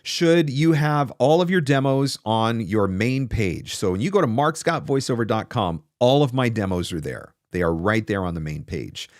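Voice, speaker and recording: Male host, 40s, lower register, emphatic casual advice delivery, dry home-studio sound. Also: dry recording. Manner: emphatic, rhetorical questions, repeats key phrases for stress. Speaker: host, voice actor coach. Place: small home studio, indoors. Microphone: close desk broadcast microphone.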